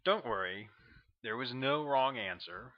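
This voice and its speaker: A stern, commanding colonel, read aloud by a man in an audiobook, his words clipped and final. The voice sits at an ordinary pitch with nothing softened. The recording sounds a little dull and muffled. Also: lively intonation, measured pace, natural voice. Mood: probing. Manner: curt, clipped, authoritative.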